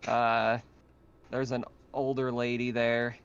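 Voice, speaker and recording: A man's voice: medium pitch, level tone, slow pace, clean tone, lo-fi sound. A man casually narrating a tabletop roleplaying game as game master.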